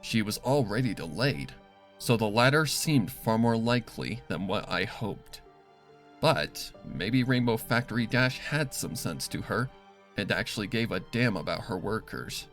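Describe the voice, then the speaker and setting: A man reading a dark first-person story as scripted dramatic narration, serious and deliberate. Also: on the low side, animated, soft background music. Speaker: fan fiction reader.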